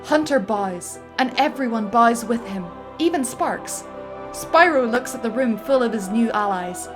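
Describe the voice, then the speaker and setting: A woman reads a script's stage directions aloud, in a slightly raised voice. Her delivery is lively and dramatic, and she sounds like she is enjoying herself. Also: on the high side, unhurried, over music. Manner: lively, dramatic narration with laughter. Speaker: narrator.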